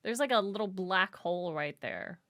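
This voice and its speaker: A woman's voice: medium pitch, expressive, moderate pace, a bit bright, no room sound, clean studio sound. A woman reacting on a livestream in a casual, playful way, talking back to the video she watches. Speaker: streamer reacting to a horror series.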